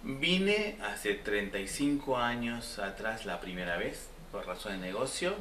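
Older-ish indian guy with accent, low voice, bit far from mic, echoey. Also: expressive, measured pace. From Jaipur, India. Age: 50s. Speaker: clothing shop owner. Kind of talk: interview talk. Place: indoors in a clothing shop. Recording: roomy, slightly distant, faint noise.

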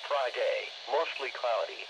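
Synthetic male weather radio voice, noisy. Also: mid-range voice. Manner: measured, formal, synthetic delivery. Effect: synthetic text-to-speech voice played through a small radio speaker. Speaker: automated weather radio announcer. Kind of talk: scripted weather forecast reading. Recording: noisy camera capture of radio speaker. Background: clear background noise.

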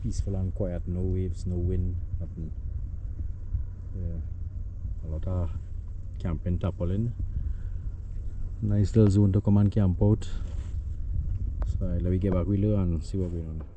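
A man's voice: low-pitched, fairly even intonation, a little slow, pauses often, lo-fi sound, background noise. A man with a Trinidadian accent giving casual, laid-back vlog commentary to his viewers, recorded outdoors on an action camera. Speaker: outdoors vlogger. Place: outdoors on the water in a kayak bay. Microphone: handheld action camera.

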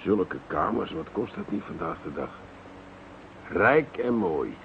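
An actor playing a pompous, bumbling, self-satisfied police commissioner in a radio play, muttering and blustering self-importantly. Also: male voice, deep voice, big pitch swings, takes it slowly, vocal fry, exaggerated voice. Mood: musing. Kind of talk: radio drama acting. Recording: muffled, noisy old radio recording.

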